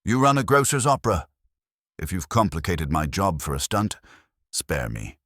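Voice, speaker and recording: A man voicing a gruff, irritable, weary police inspector with a blunt, grumbling manner in a detective audiobook. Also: sits low, very animated, moderate pace, a bit bright, no echo, clean studio sound, heavily acted. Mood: demanding, annoyed.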